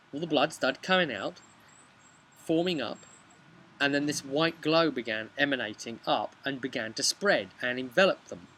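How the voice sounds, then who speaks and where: Bright-voiced man telling game stories. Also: fairly high pitch, poor audio. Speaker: game master recapping a tabletop session. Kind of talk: casual vlog storytelling. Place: outdoors by a brick house wall. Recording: clear outdoor background noise.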